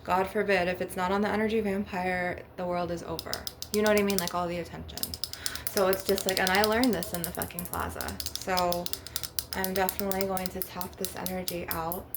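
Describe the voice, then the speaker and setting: A woman's voice: fairly low pitch, steady tone, fairly quick. A woman hosting an ASMR video, talking in a casual, rambling way with repeated check-ins to the listener and some swearing, like informal spiritual guidance. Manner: casual, repetitive tag questions, frequent swearing. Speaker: host of an ASMR channel. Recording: noisy, with clicking and tapping sounds. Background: crystal and glass tapping.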